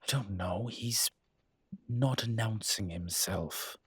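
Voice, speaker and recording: Man playing a low, husky, weary incubus. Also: no echo, heavily acted. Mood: muttering, exasperated. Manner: measured, halting, imploring with dry asides. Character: weary, earnest male incubus demon pleading diplomatically. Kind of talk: dramatic audio roleplay.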